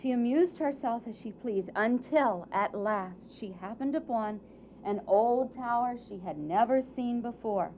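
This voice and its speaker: A woman narrating a scripted fairy tale for a puppet show in a theatrical way, with dramatic pauses and emphasis. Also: a little high, a little mellow. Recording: muffled, faint background noise.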